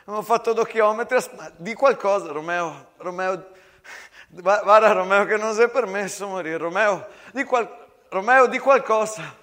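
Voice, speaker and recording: A man's voice: sits high, normal speed, fairly bright tone, pitched above the performer's own voice. A man playing a desperate young soldier in a stage war monologue, urgently pleading and stammering in a Venetian accent. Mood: panicked, desperate.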